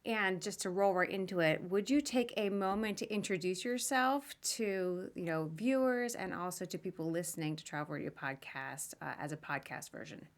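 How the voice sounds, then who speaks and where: A woman's voice: fairly low pitch, moderate pace, hardly any pauses. A woman hosting a podcast as a casual live interview, chatty, informal and self-deprecating. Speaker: podcast host interviewing a guest.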